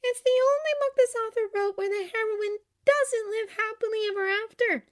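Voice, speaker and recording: A woman's voice: very high voice, moderate pace, voice pushed up. A woman voicing an emotional, melodramatic young woman from a storybook, heartbroken and indignant. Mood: subdued, explaining.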